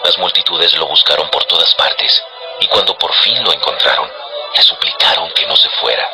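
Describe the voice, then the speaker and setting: A man with a deep, low voice reads scripted narration for a dramatized audio Bible. Music plays clearly underneath his storytelling. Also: normal speed, poor audio.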